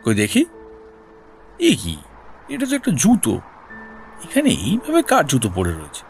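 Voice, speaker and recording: An actor playing a shrewd, persistent senior detective in an audio drama, questioning in a deliberate, probing way. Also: male voice, fairly high pitch, huge pitch swings, exaggerated voice. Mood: curious. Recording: music bed behind voice.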